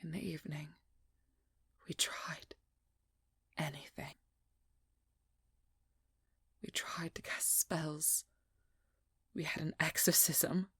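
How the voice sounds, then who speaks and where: A woman performs a haunted vampire hunter, a determined woman baring her tragic past in an intimate ASMR role-play. Her whisper is very breathy and husky, close and dry. She speaks very slowly, in pained, broken phrases. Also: deep voice, fairly even intonation, a bit bright, no room sound, heavily acted. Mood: somber, aching. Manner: slow, halting, pained confession in short broken phrases.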